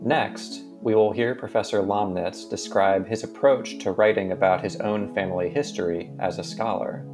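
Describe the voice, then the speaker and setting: A man's voice: on the low side, fairly even intonation, normal speed, hardly any pauses, over music. A man reading a formal scripted introduction of the next speaker.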